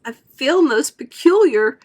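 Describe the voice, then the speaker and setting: A woman's voice: high-pitched, very animated, unhurried, pitched above the performer's own voice. A woman reading aloud, voicing a spoiled, greedy girl who chews gum and talks in a dreamy, bossy drawl. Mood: gushing.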